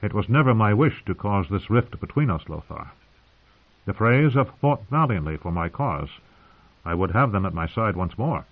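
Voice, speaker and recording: Casting: guarded young monarch voiced by a male reader, measured and tired, commanding, storybook tone. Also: on the low side, big pitch swings, breathy edge, narrow, tinny audio, exaggerated voice. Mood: cautious. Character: young king, cautious, weary and authoritative.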